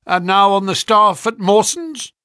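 A man voicing a brisk, flattering financial agent in a story, speaking sharply with grand, pushy salesmanship. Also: fairly high pitch, husky, dry recording, clean studio sound, pitched above the performer's own voice, full character voice. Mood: brisk, probing. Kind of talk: audiobook character dialogue.

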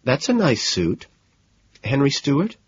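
A man voicing a composed, soothing woman in an audiobook, gently concerned and quietly amused, with soft questions. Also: medium pitch, wildly swooping pitch, measured pace, breathy edge, voice pushed up, exaggerated voice. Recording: dull, lo-fi, roomy sound.

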